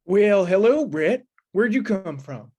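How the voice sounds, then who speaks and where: A woman's voice: medium pitch, animated, slow pace, a little mellow, slight background noise. A woman playing a touchy, guarded small-town banker in an old-time western radio drama, talking in a curt, folksy way. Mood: defensive.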